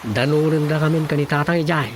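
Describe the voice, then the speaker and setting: A man's voice: on the high side, steady tone, fast talker, a little hiss or hum, lightly acted. A man playing an eager, comic young fellow in an Ilocano radio drama, with an Ilocano accent. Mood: coaxing.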